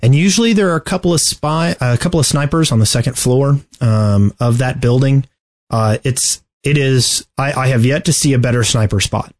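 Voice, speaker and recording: A man hosting a podcast, casually discussing video game strategy in a halting, deliberate way. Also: mid-range voice. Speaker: podcast host.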